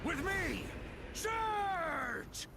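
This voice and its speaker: Slow, commanding male warrior. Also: extremely high pitch, big pitch swings, very noisy, exaggerated voice. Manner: measured, dramatic. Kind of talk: dramatic video game voice acting. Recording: game audio with music behind.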